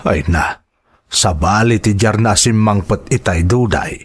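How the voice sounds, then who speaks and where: Man acting husky, puzzled Ilocano husband. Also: on the low side, a little slow. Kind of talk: audio drama acting.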